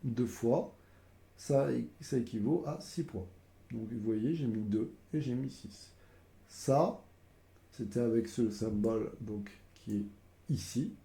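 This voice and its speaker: A man's voice: mid-range voice, vocal fry, dark tone, echoey room. Stuffy-voiced man explaining a board game. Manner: hesitant, many fillers, explains step by step. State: has a cold. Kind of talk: casual game explanation to camera.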